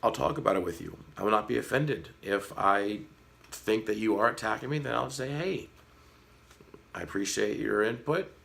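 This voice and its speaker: A man in his thirties with a slightly hoarse voice, talking frankly and earnestly to his viewers in a casual vlog, with a light sarcastic edge. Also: fairly low pitch, normal speed, fairly bright tone. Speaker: fish-keeping vlogger. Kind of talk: casual talk to camera.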